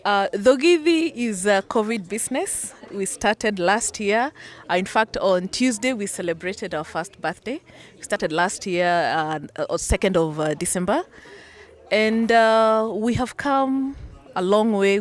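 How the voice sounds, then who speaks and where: A woman's voice: mid-range voice, expressive, unhurried. A woman from Kenya with a Kenyan accent, a business founder answering interview questions conversationally. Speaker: business founder and executive director, interview guest.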